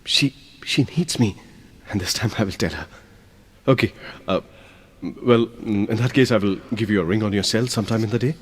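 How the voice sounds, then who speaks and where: A man's voice: lowish voice, breathy edge, long reverb tail. An actor as a hesitant young Indian man. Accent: Indian English. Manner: hesitant, emotional, halting. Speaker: young man in a radio play. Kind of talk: audio drama acting.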